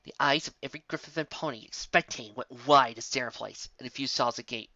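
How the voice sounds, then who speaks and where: A man's voice: medium pitch, a little fast, bright, forceful tone, dry recording, muffled recording. A man reading a fantasy story aloud in a theatrical, dramatic way. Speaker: narrator, reader. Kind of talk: expressive amateur fan fiction reading.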